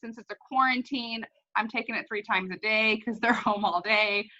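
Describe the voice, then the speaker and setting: Bright-voiced woman hosting casually, lo-fi audio. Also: a little high, steady tone, moderate pace. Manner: enthusiastic, personal and informal. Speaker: host. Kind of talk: casual chat, product testimonial.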